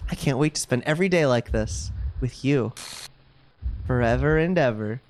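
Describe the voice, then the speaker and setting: A woman's voice: very deep voice, lively intonation, moderate pace, a little mellow, a little hiss or hum, pitched below the performer's own voice, rough recording. Woman voicing sweet, gushing girl club president. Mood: eerily devoted. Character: cheerful teenage girl club president turned eerily devoted.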